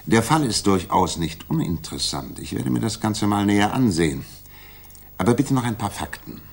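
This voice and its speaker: Cool detective, very deep voice, husky, dry, precise, self-assured, 1960s German radio play. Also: male voice, big pitch swings, normal speed, a little mellow, exaggerated voice. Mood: detached, dry. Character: Sherlock Holmes, cool, analytical, self-assured male detective.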